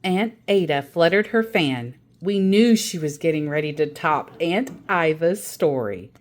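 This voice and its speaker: Slow, expressive woman storyteller. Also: low voice. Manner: dramatic, deliberate storytelling delivery. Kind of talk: expressive children's book narration.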